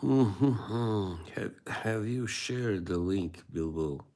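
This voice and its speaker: Man, very low voice, rambling live-stream chatter, frequent chuckles. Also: expressive, extremely slow, mellow. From Bosnia and Herzegovina. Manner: rambling and hesitant, chuckling often. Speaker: livestream host. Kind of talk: casual live-stream chat, replying to viewers.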